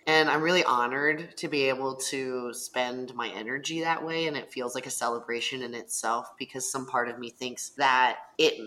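A very low-pitched speaker with a bright, forceful edge. She talks earnestly and reflectively as a panelist, and her stories pick up animation. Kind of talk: panel discussion.

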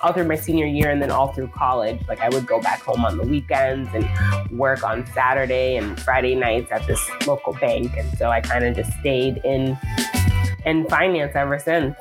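A woman's voice: a bass voice, normal speed, over music. A woman in her thirties from the United States, chatting casually as a podcast guest and laughing often. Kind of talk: casual interview chat.

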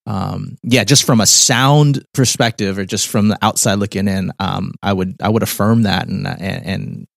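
A male interviewer with a fairly low, gravelly voice, recorded close and dry. He speaks thoughtfully and hesitantly and often circles back to restart a sentence. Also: fairly even intonation, normal speed, dry recording. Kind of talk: casual interview conversation.

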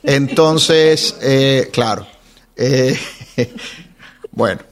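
A man in his forties from Ecuador, chatting as an interview guest, telling stories in an animated way and laughing often. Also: medium pitch, extremely slow, crowd noise. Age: 40s. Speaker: podcast guest, wine content creator. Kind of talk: casual interview storytelling.

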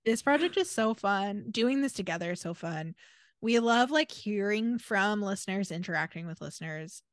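A woman's voice: medium pitch, lively intonation, normal speed, no room sound, studio quality. A woman around her forties with an American accent, co-hosting a podcast in casual, chatty and emphatic talk. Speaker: podcast co-host. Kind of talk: casual chat.

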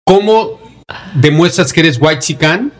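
A man's voice: on the high side, lively intonation, measured pace. A man with a Mexican Spanish accent, born in Denver and raised in Mexico, co-hosting a casual podcast chat and mocking phrases in put-on voices. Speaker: podcast co-host.

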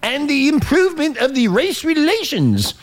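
A man's voice: very high voice, sing-song, moderate pace. Profane, rambling talk show host man. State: hungover. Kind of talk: casual talk show banter.

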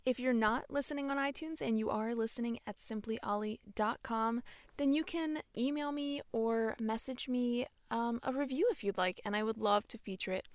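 A woman's voice: on the high side, normal speed, no room sound. Bubbly young woman podcast host. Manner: bubbly and chatty, many fillers and laughs. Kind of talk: casual solo podcast talk.

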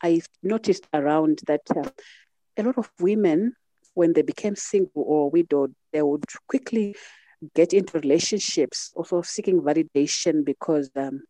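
A middle-aged woman with a Zimbabwean accent shares personal memories as an interview guest. Her voice is soft-edged and mellow, and the recording is close and dry. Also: low-pitched, moderate pace, no room sound, rough recording. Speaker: podcast guest. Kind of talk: reflective personal storytelling in an interview.

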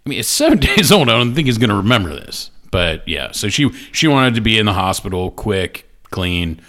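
Man, middle-aged, podcast co-host, low voice, animated, joking, swearing. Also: fairly quick. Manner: joking, laughs often, swears. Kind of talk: casual banter.

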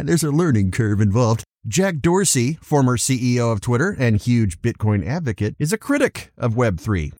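Animated man hosting a podcast. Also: medium pitch, no echo, clean studio sound. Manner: theatrical, playful, emphatic delivery with exclamations. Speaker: podcast host. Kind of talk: scripted solo podcast reviews and commentary.

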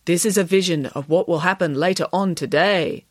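A woman's voice: on the low side, moderate pace, dry recording, studio quality, lightly acted. A woman voicing a boy who poses as a solemn Easter ghost, intoning mysteriously in a storybook. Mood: firm.

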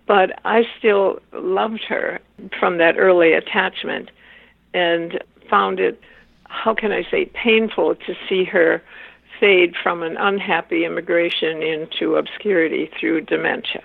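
An older woman whose first language is Swiss German, speaking as an interview guest in a measured, thoughtful way and pausing to search for words. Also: fairly low pitch, steady tone, gravelly, no room sound. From Wisconsin, USA. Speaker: interview guest, author and family therapist. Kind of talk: reflective interview answers. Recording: tinny phone-like line.

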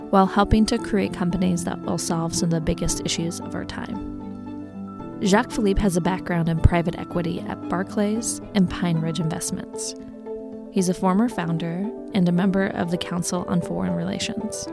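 A woman reading a scripted guest introduction in a smooth, even delivery. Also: on the low side. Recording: voice over background music. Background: music.

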